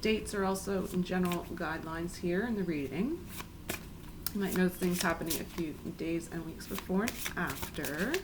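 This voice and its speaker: A woman with a raspy voice today, giving a chatty, soothing tarot reading to her viewers. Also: lowish voice, moderate pace, background noise. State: raspy, clearing throat. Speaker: tarot reader. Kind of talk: casual spiritual reading.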